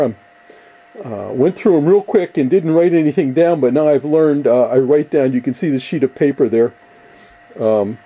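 An older man with a relaxed, mellow voice at a middle pitch. He talks casually as a hobbyist showing off his workshop, easygoing and rambling, often hesitating as he goes. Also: rough recording. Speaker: ham radio hobbyist, video host. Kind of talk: casual workshop show-and-tell. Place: cluttered indoor basement workshop.

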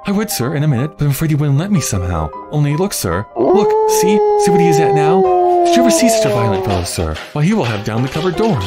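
A timid young apprentice boy from a Victorian storybook, put on by a man. His voice is pushed high and jumps about as he chatters and pleads deferentially. Music is clearly heard underneath. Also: wildly swooping pitch, fast talker. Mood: excited. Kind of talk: Victorian melodrama storybook character reading.

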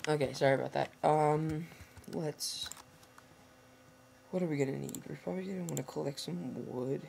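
A hoarse teenage boy chatting casually to viewers over gameplay, rambling and correcting himself as he goes. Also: a little high, moderate pace, darkish tone. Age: teens. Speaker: gameplay commentator. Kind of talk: casual let's-play commentary. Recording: noisy home recording with typing.

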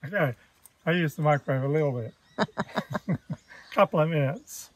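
A man in his fifties with an Australian accent, chatting casually to camera at a forest campsite, relaxed and full of fillers. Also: on the high side, normal speed, no echo. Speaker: travel vlogger. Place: outdoors in a pine forest campsite. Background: birdsong and bush ambience.

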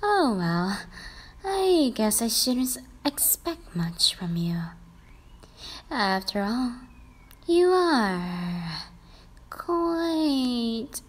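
A woman's voice: on the high side, very animated, very slow, pauses often, exaggerated voice. A woman voicing a catty, lovesick schoolgirl rival with an affected, sugary manner in a romantic roleplay. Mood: fake sweet, snide. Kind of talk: romantic fan-fiction roleplay.

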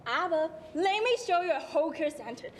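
A woman's voice: extremely high pitch, animated, moderate pace, background noise. A young woman playing a pushy, chatty Singaporean auntie in a school stage skit, with a put-on Singlish accent and tag words. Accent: Singaporean English, put on. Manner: brash, Singlish tags, scolding then friendly. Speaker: student performer. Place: large auditorium stage. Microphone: stage floor mics from afar. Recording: hall reverb from far off.

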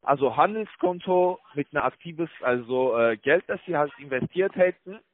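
A man working as a call-centre broker, making a halting, repetitive, placating sales pitch over the phone. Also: expressive. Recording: tinny phone line with loud-enough background noise.

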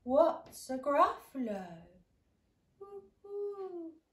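A fussy storybook owl hoots and stretches each word, played by a woman, climbing very high and loud. The room rings around a voice that sits back from the mic. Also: very animated, far from the mic, exaggerated voice. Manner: drawn-out, hooting. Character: hooting fussy owl in a treetop. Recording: echoey room, away from mic.

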